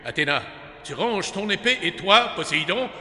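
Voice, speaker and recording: An actor playing Zeus, a blustering, fatherly king of the gods in a comic audio drama, giving booming commands. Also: lively intonation, normal speed, sharp tone, breathy edge, exaggerated voice. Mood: commanding. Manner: booming commands, stammers when flustered.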